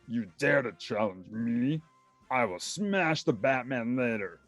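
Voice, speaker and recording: A brutish alien warrior villain acted by a male narrator for a storybook, blustering with hot temper. The words are drawn out and swing widely in pitch, with music behind. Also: expressive, slow pace, fairly bright tone, no room sound. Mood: furious.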